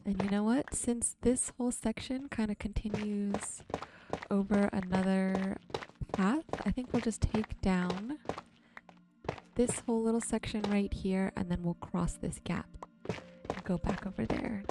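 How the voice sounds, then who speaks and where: A woman doing casual, soft-spoken ASMR commentary while playing a game, murmuring to viewers in an unhurried way. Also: mid-range voice, steady tone. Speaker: video creator and host. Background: music.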